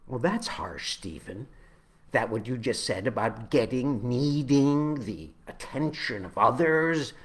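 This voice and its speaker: A man reading his own spoken-word poem, with halting, deliberate phrasing that moves between dramatic swells and hushed drops. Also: mid-range voice, lively intonation.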